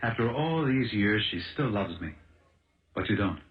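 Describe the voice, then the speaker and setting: Guy playing despairing prisoner in a 70s radio play, bitter, dramatic, lowish voice, muffled lo-fi sound. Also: expressive, normal speed, lightly acted. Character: bitter, despairing jailed former fiancé. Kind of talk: 1970s radio drama acting. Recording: dull old radio broadcast with music.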